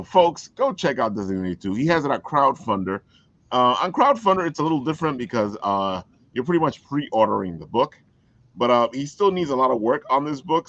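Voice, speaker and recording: A man's voice: lowish voice, a bit bright, no room sound, dull audio. A man hosting a podcast, chatting casually and enthusiastically as he plugs things to his audience. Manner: enthusiastic, plugging. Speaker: podcast host. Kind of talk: casual promotional chat.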